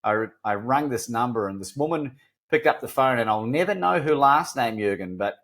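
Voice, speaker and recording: A man's voice: mid-range voice, expressive, normal speed, fairly bright tone. Earnest man with a Kiwi-Australian accent. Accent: mixed New Zealand and Australian. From New Zealand. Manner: earnest, self-deprecating, frequent restarts. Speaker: podcast guest. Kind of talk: candid storytelling interview.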